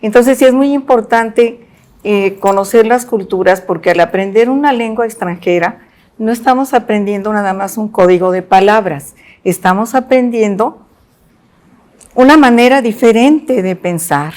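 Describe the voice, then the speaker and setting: A woman interpreter on a panel, a lively storyteller who acts out quoted dialogue. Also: medium pitch, moderate pace. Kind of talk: panel discussion, anecdote.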